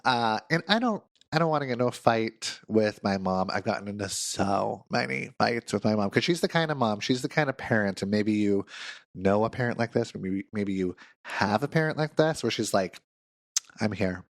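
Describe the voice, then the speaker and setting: A man's voice: on the low side, expressive, normal speed, dry recording, clean studio sound. A man, a counselor, hosting a solo podcast while sick, with a congested, nasal voice and a playful, self-mocking chat.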